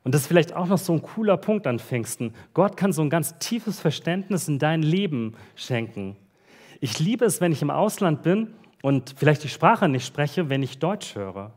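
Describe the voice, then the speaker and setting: A man preaching a church sermon in a relaxed, conversational way with casual slang, reading Bible verses in between. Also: on the high side, normal speed. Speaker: pastor. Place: church service.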